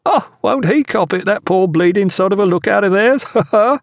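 A rough sailor lookout, crowing from the masthead in a very high, hailing voice. His talk is coarse and full of glee, in a dryly recorded sea-story audiobook. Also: moderate pace, dry recording, studio quality. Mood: gloating.

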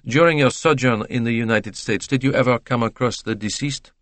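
A man's voice: medium pitch, fairly quick, a bit bright, no echo, close to an everyday voice. A man voicing a polite, composed detective who questions formally and dryly in an audiobook mystery. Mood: dry, probing. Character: courteous, probing Belgian detective, dry and composed.

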